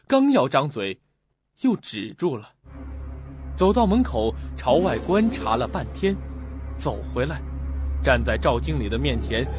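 A man giving scripted, dramatic narration for a suspense radio drama. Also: medium pitch. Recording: dull audio with music behind.

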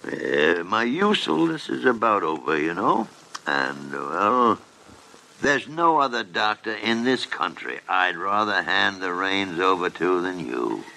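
An actor playing a gruff, crafty old senior doctor in a 1950s radio drama, halting and repeating himself emphatically. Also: male voice, deep voice, big pitch swings, bright, forceful tone, heavily acted, poor audio. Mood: weary, downcast. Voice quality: gravelly.

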